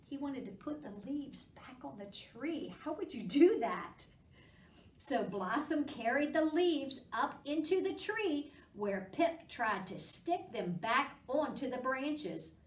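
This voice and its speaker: Casting: female children's storytime host, expressive and coaxing, very varied pitch, roomy distant pickup. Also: slow pace. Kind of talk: children's storytime read-aloud and chat. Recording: echoey room, distant microphone.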